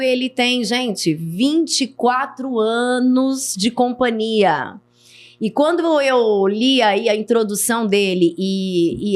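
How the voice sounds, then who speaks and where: Woman, podcast host, enthusiastic, chatty, restarts phrases. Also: mid-range voice. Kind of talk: podcast interview talk.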